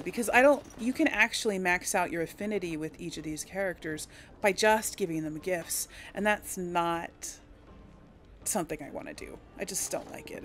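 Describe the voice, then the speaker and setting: A woman giving casual let's play commentary, chatting to her viewers and thinking aloud as she plays. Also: lowish voice. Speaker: video game player hosting a series. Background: game music.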